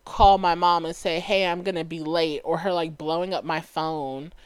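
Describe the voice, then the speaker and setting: A young woman with a light, valley-girl sounding voice, pitched a little low for her, talks in a loose, rambling podcast chat, full of "like" and quick laughs. The sound is dry and close, with no room around it. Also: steady tone, clear, resonant tone, no room sound. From Dallas, Texas. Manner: chatty, many "like" fillers, laughs easily. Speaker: podcast co-host. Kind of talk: casual chat.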